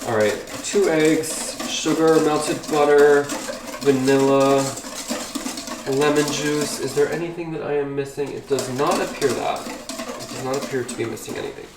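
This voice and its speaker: A man chatting casually while baking at home, rambling and easily excited. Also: on the high side, moderate pace, background noise. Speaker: vlogger. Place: home kitchen. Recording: echoey kitchen, slightly distant mic.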